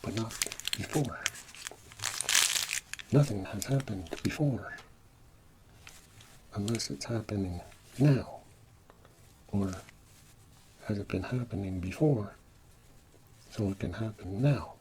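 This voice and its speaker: A man acts out a scatterbrained space tyrant for a parody ASMR roleplay, speaking very slowly in a soft, gravelly murmur that slips into whispers. The delivery is halting, rambling and mock-pompous, with noticeable background noise. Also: on the low side, rough recording. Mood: rambling, puzzled. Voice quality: soft, creaky voice dropping into whispers. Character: absurd, scatterbrained space-villain overlord musing to himself.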